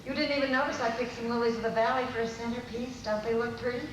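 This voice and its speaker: A woman's voice: a little high, fairly even intonation, quick pace, muffled recording, lightly acted. An older woman playing a practical, nagging but solicitous housewife in a live old-time radio comedy sketch. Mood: chatty, coaxing. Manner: solicitous, nagging, repeating herself. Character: fussing, practical housewife coaxing her husband. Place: convention stage before a live audience. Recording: echoey hall, distant mics, noisy.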